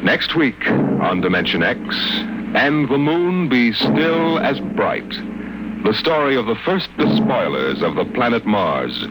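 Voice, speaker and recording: A man acting as a solemn, measured narrator of eerie tales in a vintage radio drama. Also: medium pitch, sing-song, heavy background noise. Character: grave male narrator of eerie science fiction tales. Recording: old radio recording under loud music.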